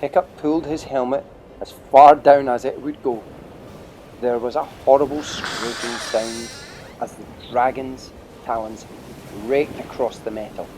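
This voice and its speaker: A man's voice: medium pitch, steady tone, measured pace, noisy background. A man narrating an adventure storybook dramatically as part of an audio play.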